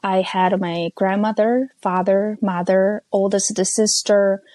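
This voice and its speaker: A woman in her thirties from North Korea, speaking English with a North Korean accent as a second language after Korean, recounting her life as an interview guest over the phone in a measured, careful way with frequent pauses and fillers. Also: mid-range voice, steady tone, dark tone, dry recording. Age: 30s. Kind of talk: personal testimony interview. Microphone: phone call.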